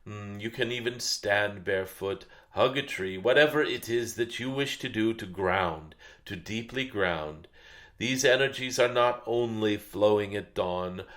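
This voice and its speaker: Man channeling a slow, solemn, gentle dragon spirit. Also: lowish voice. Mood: gentle, instructing. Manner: slow, solemn, pausing with murmured hums. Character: ancient gentle wise dragon spirit addressing humanity. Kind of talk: channeled spiritual message, guided-meditation delivery.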